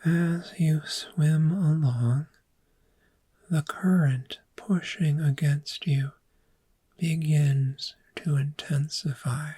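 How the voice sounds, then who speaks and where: Woman playing a very slow, whispering dragon therapist. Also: low-pitched, fairly even intonation, warm tone, exaggerated voice. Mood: soothing, hypnotic. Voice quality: breathy whisper. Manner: slow, measured, soothing, with long pauses. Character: calm, gentle dragon therapist guiding a patient. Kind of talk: soft-spoken ASMR roleplay, guided visualization.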